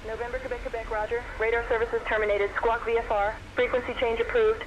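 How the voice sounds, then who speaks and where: Woman, flat radio controller calls, faint hiss behind. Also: a little high, quick pace, highs cut off. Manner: flat, clipped phrasing. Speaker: air traffic controller voice. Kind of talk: clipped radio instructions.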